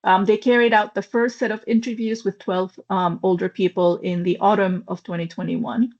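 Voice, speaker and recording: A woman presents her research in an online webinar, in a voice of middling pitch. She speaks thoughtfully and often pauses with ums and uhs. The call audio sounds a little dull and lo-fi. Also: fairly even intonation, a little mellow. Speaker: webinar speaker, anthropology lecturer.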